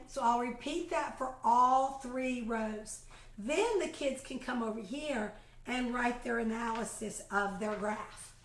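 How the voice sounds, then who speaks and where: A woman with a slightly raspy voice, demonstrating classroom math activities in a casual, chatty way and counting aloud as she goes. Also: a little high, roomy sound. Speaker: kindergarten teacher showing math activities. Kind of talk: casual live video demonstration. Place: home, a phone ringing in the house.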